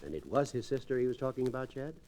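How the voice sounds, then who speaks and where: An actor playing a genial older frontier judge in an old-time radio western, avuncular and formally worded. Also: male voice, medium pitch, big pitch swings, mellow, a little hiss or hum, lightly acted. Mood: concerned. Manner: formal, measured wording.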